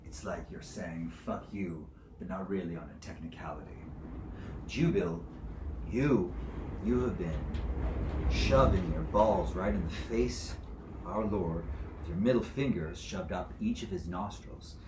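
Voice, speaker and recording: A man's voice: sits low, a little slow. A man playing a menacing, foul-mouthed zealot who sits as divine judge, in a theatrical monologue with a profane, taunting, domineering manner. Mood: low, threatening, then outraged. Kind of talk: theatrical monologue, swinging from whispers to screams. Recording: echoey room with clear background noise.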